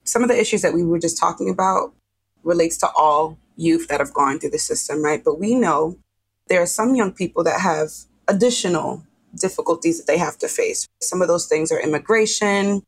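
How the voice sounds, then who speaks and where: Low, husky woman interviewer. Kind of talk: prepared interview questioning.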